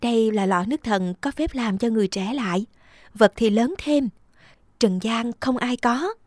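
A woman's voice: on the high side, normal speed, a little mellow, no room sound, clean studio sound, close to an everyday voice. A woman voicing a small, grateful magical sparrow with polite, formal speech in a cartoon folktale.

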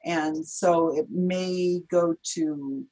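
A woman in her fifties with an American accent, hosting a casual interview over a video call from home, chatty and quick to laugh. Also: fairly low pitch, fairly even intonation, mellow, poor audio. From United States. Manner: chatty, laughs easily, trails off mid-sentence. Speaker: podcast host. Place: home room with shelves and curtains. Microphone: video call webcam mic.

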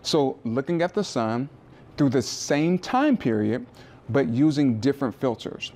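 A man's voice: medium pitch, big pitch swings, measured pace, darkish tone. A man in his thirties giving a casual guided tour of an observatory, explaining equipment in a friendly, chatty way with small restarts. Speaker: planetarium manager giving a tour. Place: rooftop observatory outdoors, then indoor exhibit room.

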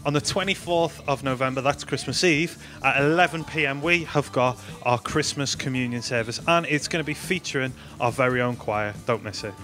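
A man's voice: medium pitch, fairly quick, pushed and bright, faint music behind. A young man presenting church news announcements in an upbeat, playful way, speaking into a handheld mic in a studio. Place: studio in front of a green screen.

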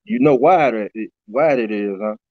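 A middle-aged rapper from Baton Rouge, talking loosely in an interview over a video call. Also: male voice, lowish voice, normal speed, a crowd in the background. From Baton Rouge, Louisiana. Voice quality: raspy. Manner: loose, repeats "you know what I'm saying". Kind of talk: casual interview chat. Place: small room indoors. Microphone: webcam on a video call. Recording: dull lo-fi video call audio.

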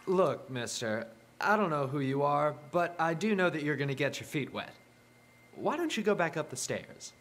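A man's voice: a little high, very animated, moderate pace, fairly bright tone. An actor playing an irritable, sarcastic young man in a hard hat working a flooded pump room. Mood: irritated. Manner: sarcastic, impatient.

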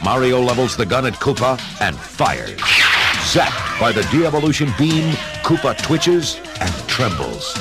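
A man narrating an adventure story in a measured, dramatic scripted style. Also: medium pitch, very animated. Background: dramatic music.

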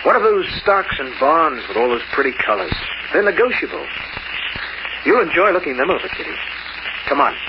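An actor playing a clever, vengeful safecracker in an old-time radio drama, taunting and theatrical. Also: male voice, fairly high pitch, sing-song, normal speed. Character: clever, menacing, vengeful safecracker narrating his downfall. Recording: muffled, very noisy old radio recording.

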